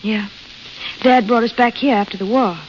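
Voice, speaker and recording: An actress playing a blunt, restless young American desert waitress with wistful dreams, plain-spoken in an old radio drama style. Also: female voice, on the high side, normal speed, close to an everyday voice. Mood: wistful, confiding. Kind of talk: 1930s-40s radio drama acting. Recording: muffled, hissy vintage radio recording.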